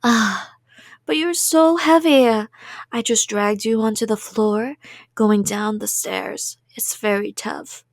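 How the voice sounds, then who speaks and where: High, sweet obsessive yandere girlfriend acted by a woman. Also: slow pace, no room sound, studio quality. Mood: breezy rambling. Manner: sweet, rambling, playful, drifts into whispers. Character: cheerful, obsessive yandere woman, a doting delusional kidnapper. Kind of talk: ASMR roleplay monologue.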